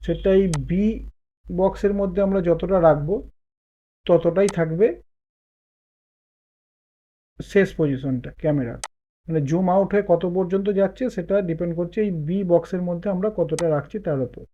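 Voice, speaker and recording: A man hosting a step-by-step software tutorial in voice-over. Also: high-pitched, highs cut off. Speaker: tutorial host.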